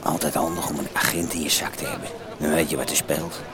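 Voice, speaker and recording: An actor playing a sly, knowing crook in a radio drama. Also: male voice, rumbling low, flat delivery, quick pace, a crowd in the background. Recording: loud street noise.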